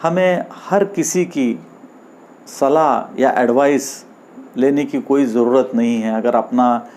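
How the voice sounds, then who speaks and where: Male storyteller for moral tales: medium pitch, unhurried, measured phrase-by-phrase read. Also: darkish tone, slight background noise. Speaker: storyteller and host. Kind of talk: scripted moral storytelling narration.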